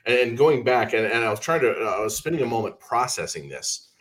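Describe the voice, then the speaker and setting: A man hosting a talk show, giving deliberate conversational commentary and often repeating words as he hesitates. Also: medium pitch, big pitch swings, fairly bright tone.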